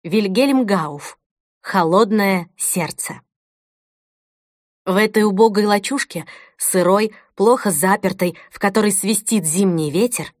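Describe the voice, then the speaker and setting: A woman narrating an audiobook in a measured, deliberate, unhurried manner. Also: mid-range voice, dry recording, studio quality. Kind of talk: audiobook reading.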